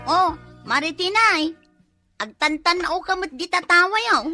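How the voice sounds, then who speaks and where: A woman playing a sharp-tongued, exasperated housewife and mother in an Ilocano radio drama, with a scolding, exclamatory delivery. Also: very high voice, unhurried, faint music behind, full character voice. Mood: bashful, softer.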